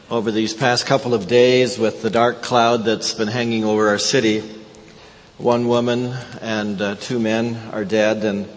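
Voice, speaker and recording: Korean-native pastor preaching in a reverberant hall. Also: male voice, fairly low pitch. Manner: halting, many uh fillers and repeated words. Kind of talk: sermon. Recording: long hall reverb from the sanctuary.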